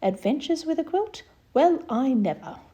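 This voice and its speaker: A woman's voice: high-pitched, sing-song, moderate pace, dark tone, dull audio, pitched above the performer's own voice. A woman voicing a puzzled, good-humoured mother in a children's storybook, exclaiming fondly.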